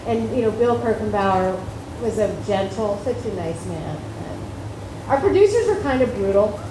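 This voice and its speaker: A middle-aged actress telling stories on a convention panel in a chatty, laughing way. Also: female voice, mid-range voice, lively intonation, measured pace. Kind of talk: anecdotal panel talk. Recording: echoey hall with noisy house audio.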